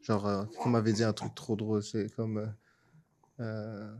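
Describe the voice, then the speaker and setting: A man's voice: lowish voice, monotone, moderate pace, very noisy. Casual man in discussion. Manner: informal, mixes in English words. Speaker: podcast panelist.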